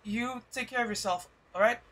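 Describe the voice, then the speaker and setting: A man streaming a game, reacting casually and musing aloud in a hesitant, halting way. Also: very high voice, bright, forceful tone, rough recording.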